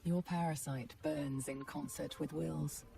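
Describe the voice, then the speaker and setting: An actress as a solemn, ominous storyteller, giving measured, grave scripted narration in a video game. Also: female voice, low-pitched, steady tone, vocal fry, far from the mic, over music, exaggerated voice.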